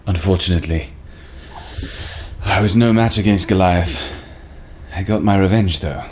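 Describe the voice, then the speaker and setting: A man's voice: sits low, normal speed, slight background noise, lightly acted. A man voicing suave crude frenchman, teasing and smug, table read.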